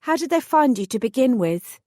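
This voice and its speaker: A woman voicing a brisk, sharp-tongued heroine. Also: high voice, big pitch swings, dry recording, clean studio sound, pitched above the performer's own voice. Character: sharp-tongued young woman with magic, bold.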